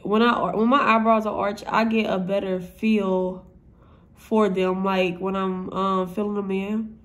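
A woman's voice: medium pitch, little pitch movement, a little slow. A young woman with a stuffy, nasal voice, chatting bluntly and profanely as she does her makeup for a vlog at home. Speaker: vlogger. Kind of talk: casual makeup tutorial vlog.

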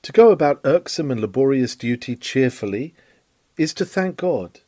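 A man reading an old sermon aloud in a measured, declamatory way, with swelling emphasis. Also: mid-range voice, expressive, warm tone, no room sound. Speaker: reader of a historical sermon.

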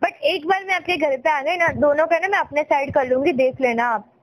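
Very high-pitched, teasing young Indian woman. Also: fairly quick. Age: young adult. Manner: teasing, dramatic, childlike pouty. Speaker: girlfriend on a phone call. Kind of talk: casual playful couple chat.

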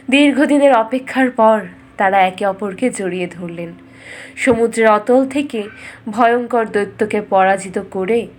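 উঁচু গলার নারী রূপকথার গল্পকথক. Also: female voice, a little high, a little hiss or hum. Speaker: storyteller. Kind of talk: scripted dramatic fairytale narration.

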